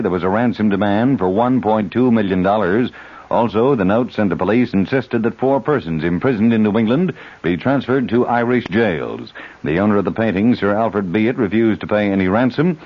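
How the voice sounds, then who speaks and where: Low-voiced American radio newsman, lo-fi recording. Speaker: radio newsreader. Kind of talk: 1970s radio news reading. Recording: dull lo-fi 1970s AM radio recording.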